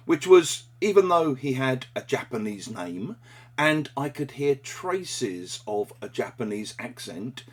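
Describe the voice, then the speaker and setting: A man with a husky, slightly breathy voice at a middle pitch explains an English phrase step by step. He keeps a measured, scripted teaching delivery throughout.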